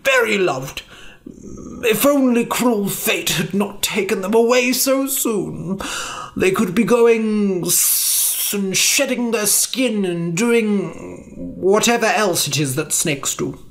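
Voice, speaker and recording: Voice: medium pitch, lively intonation, slow, vocal fry, pitched above the performer's own voice. A bluffing old adventurer speaks with mock solemnity in a strained, altered voice. He rambles and stumbles over his words, as a storyteller acts him out. This is a storybook reading. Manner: pompous, faltering, rambling. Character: pompous old male adventurer who bluffs.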